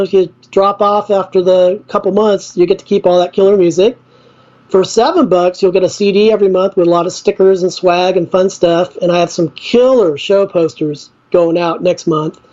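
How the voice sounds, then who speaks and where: A man's voice: sits high, a little mellow, highs cut off. A man who runs a record label, a guest on a podcast, speaking with upbeat enthusiasm. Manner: upbeat and enthusiastic, laughs easily. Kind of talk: casual podcast interview.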